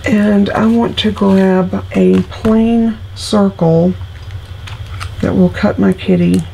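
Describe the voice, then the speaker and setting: A woman's voice: medium pitch, steady tone, takes it slowly, creaky, mellow, a little hiss or hum, low-quality recording. A middle-aged woman, still congested from illness, chatting homily through each step of a card-making tutorial. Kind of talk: casual craft tutorial.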